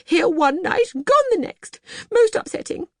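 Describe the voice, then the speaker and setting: A woman's voice: very high voice, big pitch swings, a little slow, fairly bright tone, no echo, studio quality, pitched above the performer's own voice, heavily acted. A woman voicing an anxious, fretful wife and mother in an audiobook, with a nervous, fluttery manner. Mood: tearful, upset.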